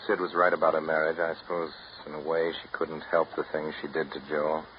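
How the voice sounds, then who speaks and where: A man's voice: extremely low pitch, monotone, brisk. An actor playing a wry, reflective man who narrates and spars with his relatives, in 1930s radio drama style. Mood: reflective, wistful. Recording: noisy muffled 1939 radio broadcast.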